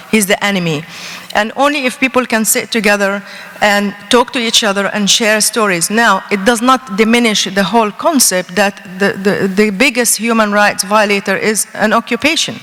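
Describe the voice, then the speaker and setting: A woman panelist from Gaza answering in a measured, earnest way, with non-native grammar. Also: medium pitch, a bit bright. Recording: long hall reverb.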